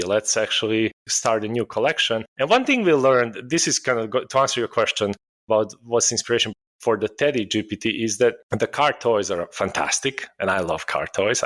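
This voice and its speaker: A man, a guest answering interview questions in a steady storytelling way. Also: lowish voice. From Serbia. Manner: steady storytelling, occasional self-corrections. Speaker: guest, startup founder.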